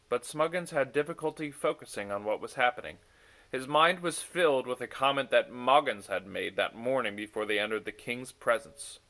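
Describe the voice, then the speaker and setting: A man reading a bedtime story aloud in an expressive storytelling style. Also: medium pitch. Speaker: story reader.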